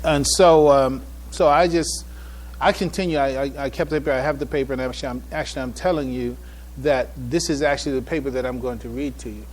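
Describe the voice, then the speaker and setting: A man giving a public address as a presenter, speaking in a halting way with repeated phrases and restarts. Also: medium pitch, steady tone, a little hiss or hum. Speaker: conference speaker presenting a paper. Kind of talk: public address, informal preamble then reading a paper aloud. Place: public event before an audience.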